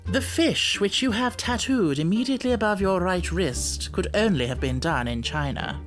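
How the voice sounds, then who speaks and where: Man playing a precise, urbane gentleman detective. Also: sits high, lively intonation, normal speed, faint music behind, voice pushed up. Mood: explanatory. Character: brilliant gentleman detective, self-assured, precise, wryly amused.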